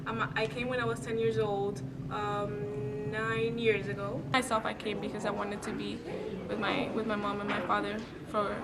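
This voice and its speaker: A young woman in her late teens from the Dominican Republic, answering interview questions earnestly and reflectively, pausing to gather her thoughts. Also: mid-range voice, little pitch movement. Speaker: student interviewee. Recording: noisy background hiss.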